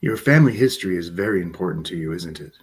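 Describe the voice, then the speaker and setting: A man playing a gentle, attentive ship's counsellor, soothing and probing, in a sci-fi radio theatre read. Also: sits low, lively intonation, fast, slightly rough.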